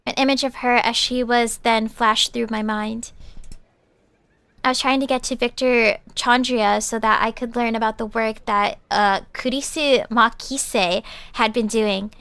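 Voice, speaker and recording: Bright-voiced woman reading a visual novel aloud. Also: fairly high pitch, smooth, no room sound. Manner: reads narration, breaks off into loud excited reactions. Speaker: vtuber streamer. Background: game background music.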